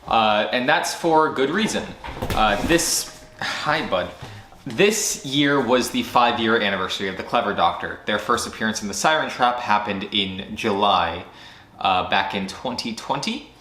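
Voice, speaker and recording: A man's voice: lowish voice, animated, a little slow, bright, forceful tone, a little hiss or hum. Rambling man recapping with roomy echo. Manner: rambling, self-interrupting, jokey asides. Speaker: channel host. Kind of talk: casual year-end recap monologue. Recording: roomy echo with faint music.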